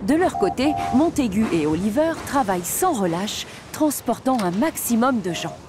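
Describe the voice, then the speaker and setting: Une femme qui raconte un dessin animé pour enfants, sur un ton clair de conteuse. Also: female voice, on the high side, big pitch swings, normal speed, background noise. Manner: clear, storytelling. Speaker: narrator. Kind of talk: scripted children's story narration.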